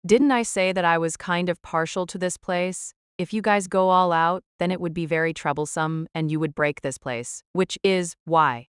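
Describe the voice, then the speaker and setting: A powerful, playful young woman of a fantasy audio drama, acted by a woman. Her voice is low and very smooth, softly teasing yet in command. It is recorded dry. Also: moderate pace, hardly any pauses, dry recording, lightly acted. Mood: teasing calm. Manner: soft, teasing, understated.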